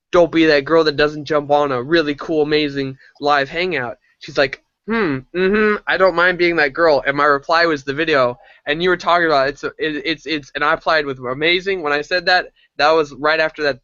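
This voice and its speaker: Energetic teenage guy, bright lo-fi sound. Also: fairly high pitch, no room sound. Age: teens. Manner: energetic, rambling storyteller. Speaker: challenge group member. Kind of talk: casual chat on a live video call.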